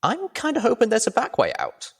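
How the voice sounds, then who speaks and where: A man's voice: extremely high pitch, huge pitch swings, fairly quick, slightly rough, voice pushed up, full character voice. A man voicing an earnest, anxious young female pony heroine in an audio story. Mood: urgent, hopeful.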